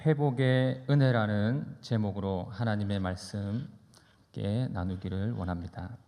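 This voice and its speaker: A man preaching as a pastor in a conversational, anecdotal sermon style, deliberate and pausing between phrases. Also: on the low side, dark tone. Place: church sanctuary during a service. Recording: reverberant hall, long echo.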